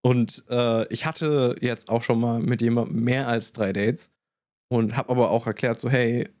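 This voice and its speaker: A man with a mid-range voice tells personal anecdotes in an animated, casual way, dropping English words into his sentences. He sounds close to the mic in a dry, dead space. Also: mid-range voice, measured pace, dry recording. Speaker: podcast co-host. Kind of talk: casual podcast chat.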